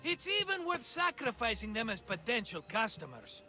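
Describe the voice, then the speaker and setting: A man playing an excitable, scheming merchant in a video game, chatty, dramatic and wheedling. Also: very high-pitched, normal speed, faint music behind, heavily acted. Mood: scheming, excited. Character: excitable scheming cheerful male merchant, always broke. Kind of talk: video game cutscene acting.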